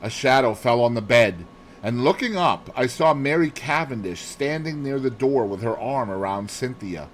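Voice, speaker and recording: Expressive male audiobook narrator, lively pitch swings. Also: moderate pace, slight background noise, poor audio. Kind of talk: dramatic scripted narration of a classic mystery novel.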